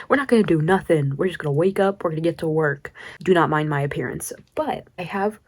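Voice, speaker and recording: A woman's voice: deep voice, animated, fairly quick, breathy edge. A tired young woman recovering from a cold, rambling casually about her writing on a late-night vlog. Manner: rambling, self-deprecating, many "like"s. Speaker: writing vlogger.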